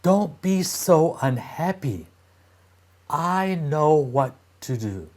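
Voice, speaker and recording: A man's voice: fairly high pitch, expressive, extremely slow, darkish tone, pitched above the performer's own voice. A man voicing a plucky young boy in a storybook read-aloud, soothing and earnest. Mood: hushed reassuring. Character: gentle, plucky young boy, reassuring brother.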